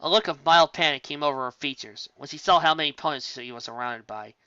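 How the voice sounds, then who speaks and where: Bright, forceful story narrator, dry sound. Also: mid-range voice, fast, clean tone, no echo, lo-fi sound. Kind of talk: scripted narration of fan fiction story.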